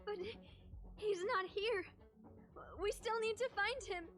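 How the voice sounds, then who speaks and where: High-voiced, desperate ailing young woman. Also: slow, a bit bright, soft background music, full character voice. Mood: distraught. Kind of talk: video game voice acting.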